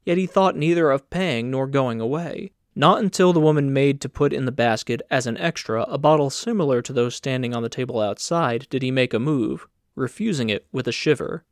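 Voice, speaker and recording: A man's voice: medium pitch, fairly quick, few pauses, dry recording, clean studio sound. A man reading scripted literary narration as an audiobook narrator.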